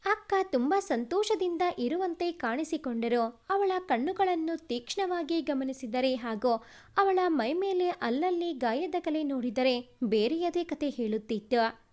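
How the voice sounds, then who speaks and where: A woman reads a sentimental family story aloud as a storyteller, in a measured, formal way. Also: sits high, expressive. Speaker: storyteller, narrator.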